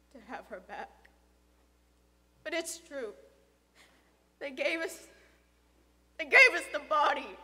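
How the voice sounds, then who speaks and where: A woman voicing a stern, suspicious, grieving middle-aged father in an audiobook, forceful and emotional. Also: on the high side, in a large hall. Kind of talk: dramatic audiobook character acting.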